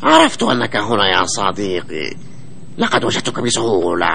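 A man's voice: lowish voice, wildly swooping pitch, moderate pace, vocal fry, bright, forceful tone, a little hiss or hum, full character voice. A man voicing a sly, gloating, hungry mongoose in a children's cartoon dub. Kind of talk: children's cartoon dubbing in formal Arabic.

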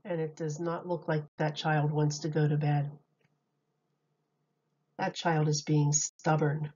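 A woman reads a children's picture book at an unhurried, slow pace. She speaks like a teacher, in simple phrases with questions to little listeners. The recording is slightly dull and lo-fi. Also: low voice, flat delivery, dark tone, breathy edge. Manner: simple, deliberate phrasing addressed to small children. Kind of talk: children's read-aloud with questions to young listeners.

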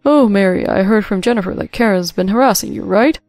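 Woman playing blustering, creaky store manager man. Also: medium pitch, fairly quick, darkish tone, no echo, faint music behind, lightly acted. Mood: startled. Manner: blustering, evasive. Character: weaselly, defensive male store manager, eager to please.

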